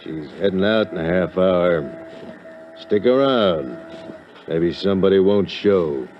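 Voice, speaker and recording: A very deep male voice comes through dull vintage radio sound. It is a tough, laconic waterfront sailor, speaking in clipped, casual slang in 1950s drama style. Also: slow pace. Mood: offhand, wry. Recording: muffled vintage radio recording, music behind.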